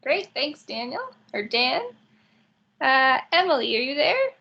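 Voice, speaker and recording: Host woman: high, bright, slightly gravelly voice over a muffled video call, brisk roll-call manner. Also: lively intonation. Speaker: orientation host. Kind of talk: online orientation session.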